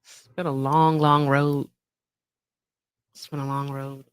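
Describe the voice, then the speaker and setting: A woman's voice: rumbling low, level tone, slow pace, clean tone, no room sound, studio quality. A woman in her late thirties hosting a casual livestream, chatty and laughing often, calling her viewers y'all. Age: 30s. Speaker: livestream host.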